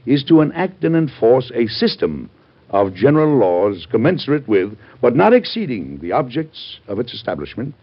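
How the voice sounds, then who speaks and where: An actor in an old-time radio drama playing a middle-aged, devout American president with a husky voice and a grave, stately, oratorical manner. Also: male voice, on the low side. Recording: dull vintage radio drama recording.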